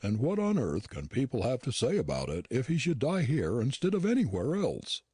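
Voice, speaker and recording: A man voicing a curt, disdainful gentleman dentist who talks down to his housekeeper. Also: mid-range voice, huge pitch swings, moderate pace, slightly rough, no echo, clean studio sound, voice pushed up. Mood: curt scornful. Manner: curt and scornful.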